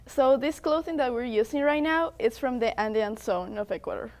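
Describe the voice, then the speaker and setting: A teenage girl answers questions briefly and simply, a little shy. Her voice is higher than most. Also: normal speed, darkish tone. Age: teens. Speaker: youth dancer.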